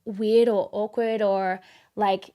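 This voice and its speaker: A woman's voice: medium pitch, level tone, slow pace, warm tone. A woman with an Australian accent, an actress talking in a casual podcast interview, chatty, with frequent restarts and filler words. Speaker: actress guest.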